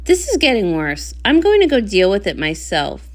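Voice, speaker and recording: A woman's voice: mid-range voice, huge pitch swings, moderate pace, voice pushed down. A woman voicing a demanding, blunt female pony who captains the guard in a storybook. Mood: resolute.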